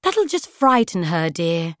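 A woman voicing a sweet, gentle, placid lady encyclopedia of flowers in a children's audiobook. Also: fairly high pitch, expressive, unhurried, no room sound, clean studio sound, pitched above the performer's own voice. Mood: gentle concern.

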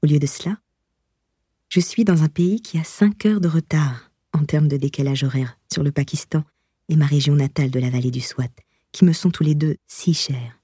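A woman with a low, very breathy and husky voice reads a memoir as an audiobook narrator. Her tone is dark and soft-edged. The delivery is measured and expressive, recorded dry and close. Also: dry recording.

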